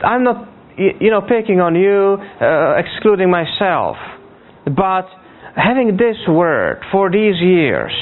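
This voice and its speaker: A man from Africa preaching conversationally and earnestly, often repeating words haltingly and checking in with his listeners. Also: high voice. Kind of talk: conversational sermon. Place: speaking to a church congregation. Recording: tinny, phone-like narrow band.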